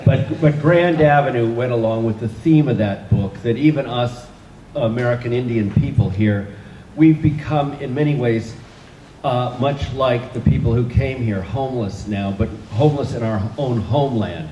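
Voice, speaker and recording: Relaxed man speaking in a roomy hall. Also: mid-range voice, moderate pace, dull audio, slight background noise. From Northern California. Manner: relaxed, joking, with fillers. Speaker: author and guest. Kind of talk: onstage conversation. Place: event hall with an audience.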